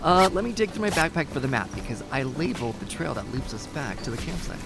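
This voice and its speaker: A man's voice: fairly high pitch, lively intonation, fast, vocal fry, over music. A man playing an earnest, anxious young hiker in an amateur audio drama. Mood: calmer.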